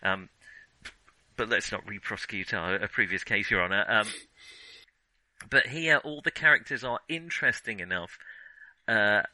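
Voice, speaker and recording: A man's voice: fairly low pitch, normal speed, pauses often, sharp tone, no room sound, poor audio. A man co-hosting a film podcast, chatting casually with wry, enthusiastic humour. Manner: enthusiastic, chuckling, wryly joking.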